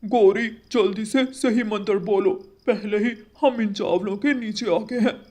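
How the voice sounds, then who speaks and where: A man playing an angry, greedy crow husband in a children's cartoon, demanding and gruff in manner. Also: high voice, measured pace, a little mellow. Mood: bossy, urgent. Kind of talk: Hindi children's cartoon dialogue.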